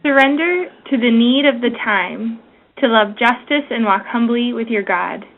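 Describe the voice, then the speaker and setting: A woman reading a prayer aloud on a conference call, solemn and measured. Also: on the high side. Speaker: prayer reader. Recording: tinny phone-line conference call.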